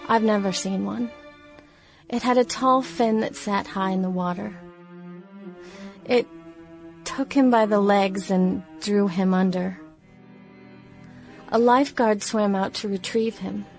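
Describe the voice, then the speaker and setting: A woman's voice: medium pitch, vocal fry. A woman playing a young sister from 1916, reading her diary in a radio play. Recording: music behind the voice.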